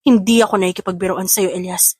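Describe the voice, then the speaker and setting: A woman's voice: on the low side, fairly even intonation, fast, a little mellow, husky, dry recording, studio quality. A woman playing a hurt, defiant young mother in a Tagalog audio drama. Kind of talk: scripted audio drama acting.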